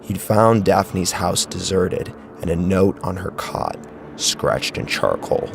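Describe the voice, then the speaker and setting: A man reads scripted horror fiction as its dramatic narrator. His voice is deep and creaky, with lively swings of intonation. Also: slow pace, noisy background.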